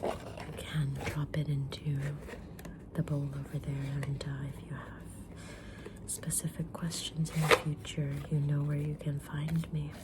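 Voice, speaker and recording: A woman whispering softly in an ASMR roleplay as a kind, mysterious witch reading tarot, with a gentle, soothing delivery. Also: low voice, completely flat delivery, very noisy. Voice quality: breathy unvoiced whisper. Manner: gentle, soothing, unhurried fortune-teller delivery. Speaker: ASMR creator playing a tarot reader.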